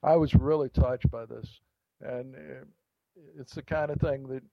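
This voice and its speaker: A man, an author, being interviewed, telling an anecdote in a reflective way with pauses and restarts. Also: mid-range voice, dry recording, muffled recording. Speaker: author guest. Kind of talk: interview storytelling.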